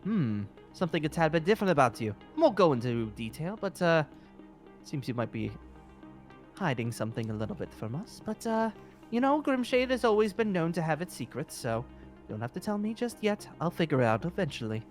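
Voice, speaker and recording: Guild leader voiced by a roleplayer, courteous and scheming, animated intonation, halting fantasy-roleplay delivery over faint music. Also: mid-range voice, lightly acted. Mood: smug, appraising. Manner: hesitant, drawn-out, repeating praise. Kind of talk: improvised Minecraft fantasy roleplay. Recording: music underneath.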